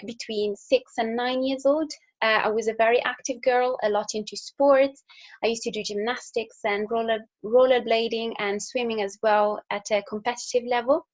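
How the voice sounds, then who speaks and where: A woman's voice: medium pitch, muffled recording. A young woman from Italy with an Italian accent, talking casually to school pupils, with fillers and restarts. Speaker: engineer. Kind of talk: recorded talk to school pupils.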